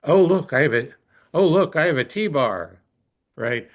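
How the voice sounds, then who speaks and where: A man answering as a coach in a conversational interview, thinking aloud in a thoughtful, halting way with repeated phrases. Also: on the high side, animated.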